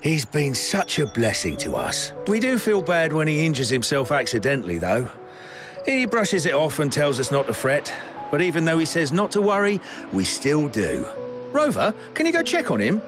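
An actor playing a kindly, chatty snack shop chef in a video game, with a folksy, expressive way of talking. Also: male voice, a little high, normal speed. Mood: fond. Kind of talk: animated game dialogue. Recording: game music behind the voice.

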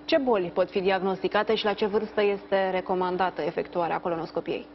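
A woman's voice: medium pitch, steady tone. A woman in her thirties presenting morning news and putting formal questions to a guest from a studio. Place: indoors in a TV studio. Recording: slightly dull sound, faint background noise.

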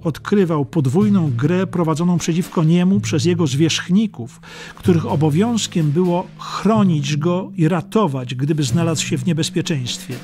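A man narrating a historical audiobook from a script in a deliberate, dramatic storytelling way. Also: a little high, darkish tone.

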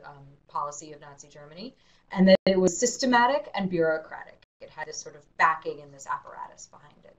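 A woman's voice: lowish voice, a bit bright, rough recording. Woman moderating an educational webinar. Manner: clear, explanatory, encouraging. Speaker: museum educator host. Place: indoor exhibit room.

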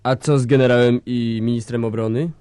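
An actor playing a composed, idealistic guerrilla leader, speaking with declamatory authority in a radio drama. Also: male voice, medium pitch, steady tone, a little fast, dark tone, no room sound, lightly acted. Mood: controlled, mocking. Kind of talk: stage-like radio drama acting.

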